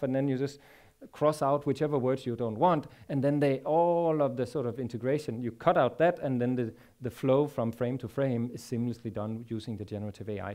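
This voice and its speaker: A man in his forties with a Danish accent giving a technical conference talk on a large stage, halting and repeating words often. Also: mid-range voice, mellow. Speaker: conference speaker.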